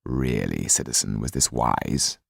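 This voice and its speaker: A man voicing a sinister, coldly composed count with a smooth, deliberate, menacing manner. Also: very deep voice, expressive, no room sound, studio quality, voice pushed down, heavily acted. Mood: cold reproach.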